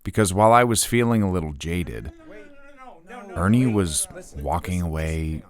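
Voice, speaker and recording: An actor playing a reflective, jaded podcast journalist, hosting with scripted narration. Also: male voice, sits low, expressive, measured pace. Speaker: podcast host.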